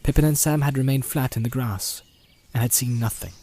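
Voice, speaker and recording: Mellow, deliberate male audiobook narrator. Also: mid-range voice. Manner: deliberate, atmospheric, suspenseful. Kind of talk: scripted audiobook narration, dramatic storytelling. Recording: home-made, nature soundscape mixed behind. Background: animal and nature sound effects.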